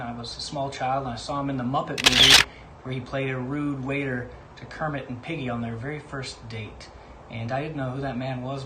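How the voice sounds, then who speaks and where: A man gives a casual, unscripted testimonial in a mid-range voice. The room is very echoey and there is a faint noise under him. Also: mid-range voice. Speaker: guest contributor.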